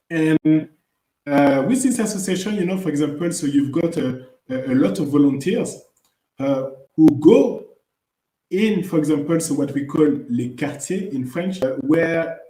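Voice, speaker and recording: A man's voice: high voice, mellow, echoey room, poor audio. A man co-hosting a podcast, talking casually with frequent "you know" fillers and restarts. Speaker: podcast co-host.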